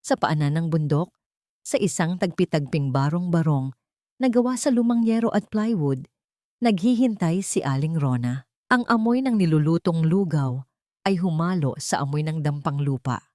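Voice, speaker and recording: A woman's voice: deep voice, warm tone, dry recording, clean studio sound. A woman narrating a dramatic story, her voice rising with emotion at tense moments.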